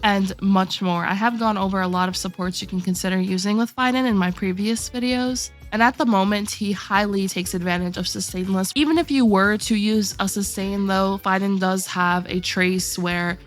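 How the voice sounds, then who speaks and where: A woman with a sore throat hosting a gaming video, calmly walking viewers through a character tier list. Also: mid-range voice, moderate pace, few pauses, soft background music. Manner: steady explanatory walkthrough, addressing viewers directly. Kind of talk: game tier list commentary.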